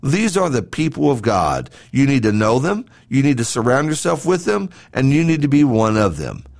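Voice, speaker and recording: A man's voice: medium pitch, sing-song, moderate pace, gravelly. A man hosting a devotional podcast, reading a scripted sermon in an earnest, emphatic way with rhetorical questions and repeated phrases. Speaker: podcast host and preacher.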